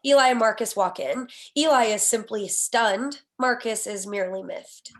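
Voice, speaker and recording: High-voiced woman reading screenplay directions. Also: normal speed. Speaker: stage direction reader. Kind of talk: scripted screenplay narration at a table read.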